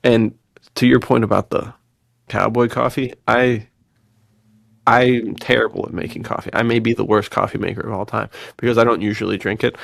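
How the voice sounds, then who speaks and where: A man with a fairly low, creaky voice, recorded close and dry. He chats casually like a podcast host among friends, telling stories in loose slang and acting out little bits of dialogue. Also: moderate pace, no echo. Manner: slangy, animated storytelling with quoted dialogue and frequent laughter. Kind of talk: casual chat, telling personal anecdotes.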